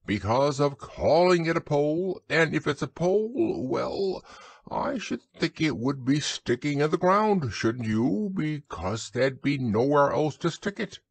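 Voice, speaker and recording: Man putting on a high, swooping self-assured rabbit. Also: very animated, a little slow, breathy edge, no echo, exaggerated voice. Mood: confident. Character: brisk, self-assured male rabbit, bossy know-it-all.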